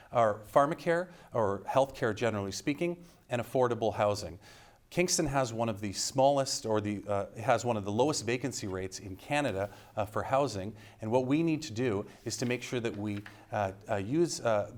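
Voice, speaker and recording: A man who is the sitting member of parliament, giving an earnest debate opening statement with frequent halting pauses. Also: on the low side. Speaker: incumbent member of parliament, candidate.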